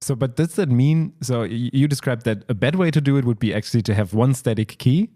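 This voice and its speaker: A man's voice: mid-range voice, fairly quick, mellow, dry recording, studio quality. A man hosting a conversational podcast interview, inquisitive and recapping things in plain words. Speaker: podcast host.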